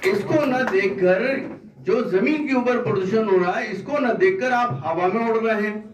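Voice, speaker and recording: High-voiced insistent man in an echoey space. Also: normal speed, background noise. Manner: insistent, argumentative, with rhetorical questions and repetition. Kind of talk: forceful public statement of grievance. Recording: echoey, muffled, distant microphone.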